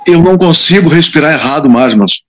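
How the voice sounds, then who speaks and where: A man's voice: a little high, a little fast. A man from Rio de Janeiro, a radio announcer, chatting animatedly with colleagues and stressing his points with tag questions. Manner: animated, emphatic storytelling with frequent tag questions. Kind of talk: casual chat among broadcasters.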